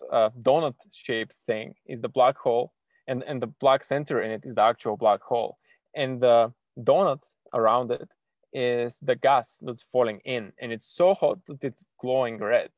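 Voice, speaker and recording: A young man teaches astrophysics to children over a video call, stumbling through restarts and fillers as he goes. His voice sits at a middle pitch and sounds dry and close, with no room around it. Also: fairly even intonation, dry recording. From Bulgaria. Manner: halting, many restarts and fillers, eager. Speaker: astrophysics PhD student giving an online talk. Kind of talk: informal online science lesson for kids.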